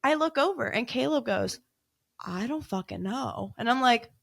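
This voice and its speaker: A woman with a loud voice, co-hosting a podcast and telling a ghost-hunt story in a lively, laughing way. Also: on the high side, moderate pace, gravelly, dry recording, studio quality. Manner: animated, laughing storyteller who acts out quotes. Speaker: podcast co-host. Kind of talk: casual storytelling chat.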